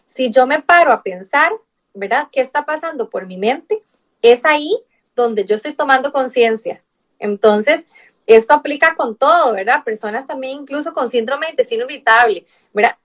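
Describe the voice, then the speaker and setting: A woman's voice: sits high, animated, normal speed, a bit bright. Costa Rican woman nutritionist explaining. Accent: Costa Rican Spanish. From Costa Rica. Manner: explanatory, fills with rhetorical "¿verdad?". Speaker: nutritionist guest. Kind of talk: conversational interview.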